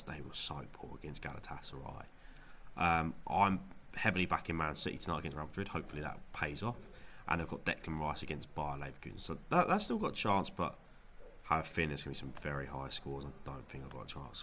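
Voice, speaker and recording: Low-voiced man with a nasal cold. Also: bright, forceful tone, slight background noise. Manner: rambling, lots of ums and restarts. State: has a cold, sounds nasal. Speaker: fantasy football video creator. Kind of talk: casual gameweek review vlog.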